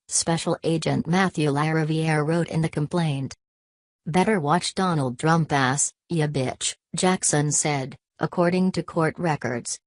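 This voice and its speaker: A woman's voice: low-pitched, darkish tone, no room sound. A woman narrating a scripted news report, reading stiffly word for word.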